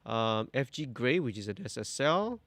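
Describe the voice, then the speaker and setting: A young man, talking through a mix on a studio livestream, relaxed and thinking aloud as he works. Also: mid-range voice, normal speed, background noise. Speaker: mixing engineer host. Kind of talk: livestream mixing tutorial. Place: indoor music studio.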